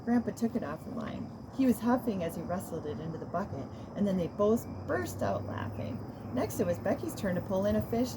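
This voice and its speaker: Expressive woman reading aloud, very noisy recording. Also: mid-range voice, fairly quick. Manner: expressive, amused. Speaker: children's author reading own book. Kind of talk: storybook read-aloud. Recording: noisy recording with loud background noise.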